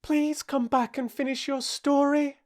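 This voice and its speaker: A woman's voice: on the low side, big pitch swings, unhurried, dark tone, no echo, studio quality, voice pushed up. A woman voicing a polite, eager, chatty little girl in an earnest, courteous storybook manner. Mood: pleading.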